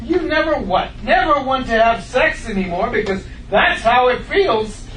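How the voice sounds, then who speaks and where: Man playing a high-pitched grumpy, blunt husband. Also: animated, moderate pace, fairly bright tone. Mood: spiteful. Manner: blunt, bickering delivery. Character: grumpy, blunt husband, an unhappy furniture customer. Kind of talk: comedy script table read before an audience. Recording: roomy, distant room recording with faint noise.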